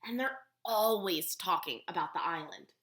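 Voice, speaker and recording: A woman's voice: fairly low pitch, moderate pace, a bit bright, voice pushed down, lightly acted. A woman reading a storybook, voicing an earnest little schoolgirl who is puzzled yet eager. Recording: roomy, echoey room sound.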